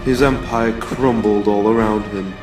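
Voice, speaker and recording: A man acts out an old great-grandfather echidna for a comic dub. He speaks slowly, proud and reproachful, from a distance in an echoey space with music underneath. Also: on the low side, fairly even intonation, natural voice. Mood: bitter, reproachful.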